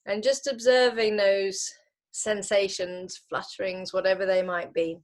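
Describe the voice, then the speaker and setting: A woman leading a guided relaxation as a yoga teacher, unhurried and gently instructing, leaving pauses between phrases. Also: mid-range voice, fairly even intonation.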